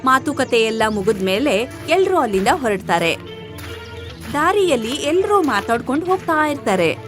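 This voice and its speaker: A woman narrating an animal story in an animated storytelling style. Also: high voice, normal speed, music in the background.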